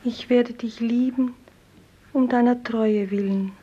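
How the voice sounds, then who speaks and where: An actress playing a drowned young beloved, tender and humble, in a solemn 1950s German radio play, half-whispering. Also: female voice, fairly high pitch, steady tone, warm tone, breathy edge. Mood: sorrowful, devoted. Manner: solemn, poetic, slow. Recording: dull 1950s radio recording.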